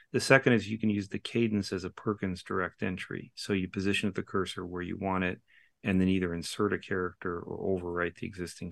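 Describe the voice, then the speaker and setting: A man's voice: low-pitched, gravelly, fairly bright tone, no echo. A man, a company founder, patiently explaining how a braille device works, step by step, on a call-in show.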